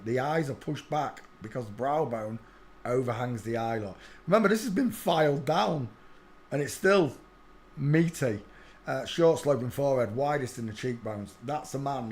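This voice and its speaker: A man hosting his own channel, giving brash, scoffing commentary over video clips, with quick asides. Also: mid-range voice, a little mellow, a little hiss or hum.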